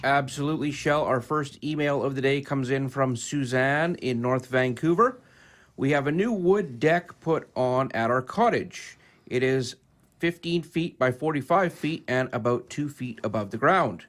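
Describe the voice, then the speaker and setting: A man's voice: medium pitch, a little slow. A man, a radio co-host reading a listener's email aloud.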